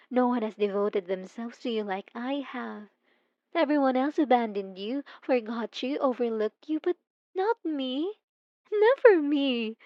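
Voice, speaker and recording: Casting: actress as obsessive female stalker for intimate ASMR roleplay; higher voice, soft, coaxing, possessive, sweetly menacing, dry slightly muffled sound. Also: dry recording. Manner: soft, coaxing, teasing, with drawn-out emphasis.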